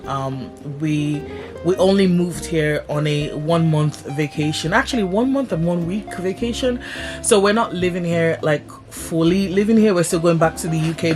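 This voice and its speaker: A young woman vlogging casually to her viewers, chatty and laughing often. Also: low voice. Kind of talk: casual vlog talk to camera. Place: village home abroad.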